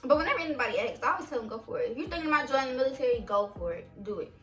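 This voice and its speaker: A young woman trading army stories on a vlog, animated and full of profanity. Her voice sits on the higher side and echoes around the room. Faint music plays behind her. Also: very fast, recorded from a distance. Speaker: vlog co-host, army veteran. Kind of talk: casual storytelling chat.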